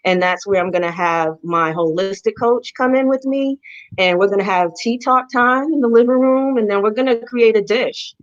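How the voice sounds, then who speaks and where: A woman's voice: medium pitch, steady tone, dull audio. A woman with a Boston accent, a real estate agent guest talking enthusiastically about her plans. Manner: enthusiastic, talkative, spells things out slowly. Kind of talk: casual interview chat.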